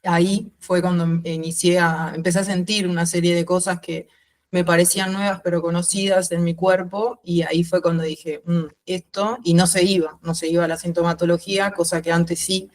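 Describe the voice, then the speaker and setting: A woman's voice: fairly low pitch, flat delivery, moderate pace. Woman with mixed Uruguayan-Spanish accent. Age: 30s. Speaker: podcast guest. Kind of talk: informal interview, personal account.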